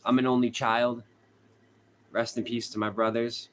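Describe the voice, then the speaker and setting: A man's voice: mid-range voice, level tone, moderate pace. A young man with a Boston accent hosting a casual true crime livestream, chatting with viewers, reading their comments aloud and laughing often. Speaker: true crime channel host. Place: hot room at home.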